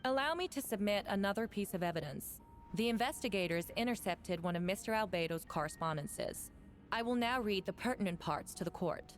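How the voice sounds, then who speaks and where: A woman playing a formal, deliberate female prosecutor in a voice-acted video game. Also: medium pitch, lively intonation, slight background noise, natural voice. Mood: stern, accusing. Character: formal, resolute female prosecutor presenting evidence in court. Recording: game audio with faint music behind.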